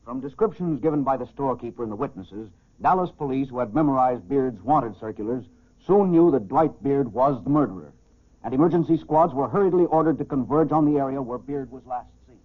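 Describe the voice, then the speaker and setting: A man's voice: medium pitch, expressive, fairly quick, lightly acted. A man as a sober police chief, giving scripted dramatic narration of a crime case on 1940s radio. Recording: muffled, boxy 1940s radio recording.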